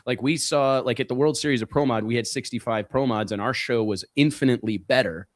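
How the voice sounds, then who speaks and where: A man's voice: medium pitch, fairly quick, no echo, clean studio sound. A man hosting a casual racing talk show, stressing points by repeating words. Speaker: show host. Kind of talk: casual podcast chat.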